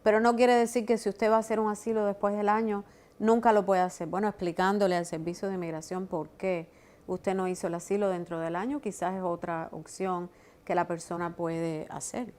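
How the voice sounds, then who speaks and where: A woman's voice: medium pitch, flat delivery, a little fast, darkish tone. A woman immigration lawyer explaining legal options in an interview, often affirming with short agreements. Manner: explanatory, affirming with repeated "exacto". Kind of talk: expert interview.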